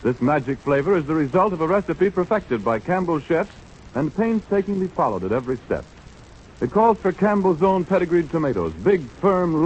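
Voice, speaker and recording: A man's voice: on the high side, slight background noise. A man with an American accent announcing and advertising in polished, emphatic 1930s radio style. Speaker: radio announcer and sponsor's pitchman. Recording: dull vintage 1930s radio recording.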